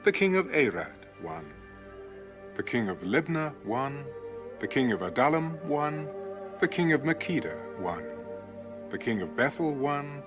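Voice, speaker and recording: A man's voice: mid-range voice, very animated, unhurried. A man narrating scripture in a dramatic, scripted style as an audio Bible reader. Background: music.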